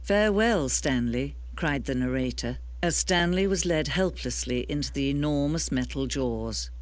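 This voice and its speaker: A woman voicing a calm, knowing female narrator in a video game, speaking slowly and softly. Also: a bass voice, no echo.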